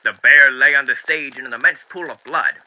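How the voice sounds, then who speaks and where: A man voicing a dapper, sinister radio demon who reads a story theatrically and deliberately through an old radio filter. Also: mid-range voice, poor audio. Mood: ominous relish. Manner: theatrical, deliberate, with hushed dips and swells. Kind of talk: dramatic book reading as old-time radio host.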